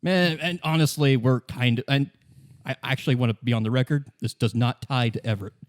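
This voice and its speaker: A man voicing a tough, loyal union vigilante leader in an improvised tabletop game, blunt, defensive and halting. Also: mid-range voice, close to an everyday voice. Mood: defensive, insistent.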